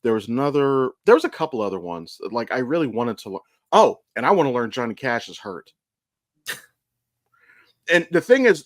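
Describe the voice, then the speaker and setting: A man's voice: mid-range voice, a bit bright, no room sound, studio quality. An American man, maybe in his thirties, co-hosting a podcast in a rambling, informal, sweary way with frequent self-corrections. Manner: profane, informal, stop-start with self-corrections. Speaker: podcast co-host. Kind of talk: casual rambling podcast chat.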